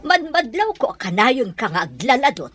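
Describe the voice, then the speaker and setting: An actress playing a stern, suspicious mother in an Ilocano radio drama, interrogating forcefully. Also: fairly high pitch, big pitch swings, normal speed, soft background music. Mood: accusing, stern.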